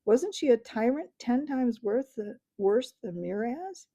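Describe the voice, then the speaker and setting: A woman's voice: on the high side, expressive, a little slow, dull audio, voice pushed up. Woman playing a young king thundering. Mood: shocked. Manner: loud, accusing. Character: young male king, commanding and outraged.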